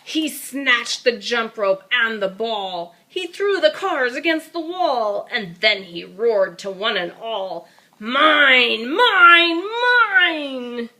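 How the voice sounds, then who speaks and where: Animated, high-voiced woman reading a storybook. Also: slow, hardly any pauses. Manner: animated, child-directed, dramatic emphasis. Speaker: storybook reader. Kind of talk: expressive children's storybook read-aloud.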